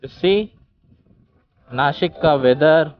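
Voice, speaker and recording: A man's voice: a little high, drawn-out words. A man with an Indian accent giving casual, informal vlog commentary as a motovlogger, mixing two languages as he goes. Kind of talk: casual vlog commentary in mixed Hindi and English. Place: outdoors on rural trails and roads, while riding a scooter. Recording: slightly dull outdoor recording with vehicle noise.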